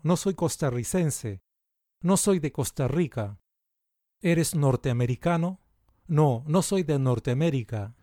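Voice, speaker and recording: A man reading out simple practice sentences in a slow, deliberate, evenly paced way, like a language lesson recording. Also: a little high, warm tone, dry recording, studio quality. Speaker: language teacher. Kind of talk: slow scripted language drill.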